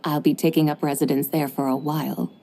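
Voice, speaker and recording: A woman's voice: sits low, little pitch movement, a little fast, creaky, warm tone, slight background noise. A woman voicing a drowsy, formal mystic woman with grand, old-fashioned manners in a game cutscene. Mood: stately.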